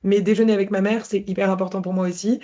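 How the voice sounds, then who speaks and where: A woman's voice: medium pitch, little pitch movement, fast, dark tone. Reflective woman, dull band-limited sound. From Paris, France. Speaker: interview guest, entrepreneur. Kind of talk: conversational personal testimony.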